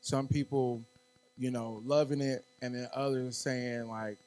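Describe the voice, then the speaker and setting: A man hosting a podcast in a laid-back, agreeable manner, quick to chime in with approval. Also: mid-range voice, fairly even intonation, a little mellow. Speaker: podcast host. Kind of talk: casual podcast interview.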